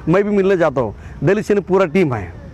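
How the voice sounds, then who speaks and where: Combative high-pitched man with Karnataka accent. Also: very animated, brisk, darkish tone, dull audio. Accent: Karnataka Hindi. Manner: combative, haranguing, repeats phrases. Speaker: political activist and state president of an organisation. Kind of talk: impassioned press statement.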